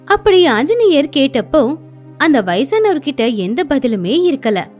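Female narrator for a kids' story, high and animated. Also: unhurried, soft background music. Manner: expressive storyteller.

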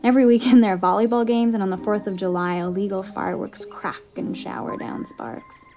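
A woman's voice: mid-range voice, steady tone, a little fast, dark tone. A young woman reading a scripted first-person short story as a narrator. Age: young adult. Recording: music behind the voice.

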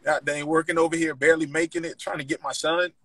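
A man's voice: a little high, level tone, quick pace, fairly bright tone, husky, no echo. A young man, a guest, giving heartfelt personal testimony in casual speech. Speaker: guest rapper.

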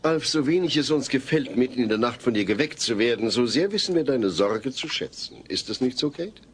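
A patient, long-winded family father played by a man in a radio play. His voice sits at a middle pitch, formal in delivery, with faint noise in the background. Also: lively intonation, fairly quick, natural voice. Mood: lecturing. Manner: wordy, formal. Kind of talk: German audio drama dub acting.

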